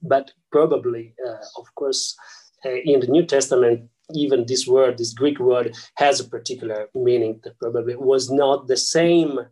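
A man with an Italian accent, a non-native English speaker, explains physics and theology as an interview guest, pausing often with filler sounds and restarting his sentences. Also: mid-range voice, steady tone, mellow, rough recording. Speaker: guest scholar.

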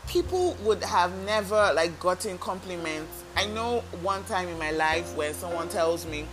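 Woman, self-help podcast host, earnest coaching delivery, clear background music bed. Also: lowish voice, expressive, measured pace, rough recording. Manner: earnest, coaching, asks listeners direct questions. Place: talking alone into a microphone.

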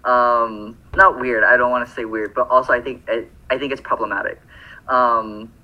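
A man's voice: mid-range voice, level tone, normal speed, highs cut off. A man from Phoenix co-hosting a podcast, chatting casually and rambling through lots of fillers and self-corrections. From Phoenix, Arizona. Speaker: podcast co-host.

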